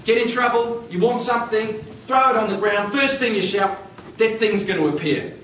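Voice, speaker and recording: Brief: high, clipped, hurried squirrel, voiced by a male storyteller, noisy live room. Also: fairly bright tone. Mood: grateful. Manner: hasty, clipped, pleading. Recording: echoey room from far off, noisy.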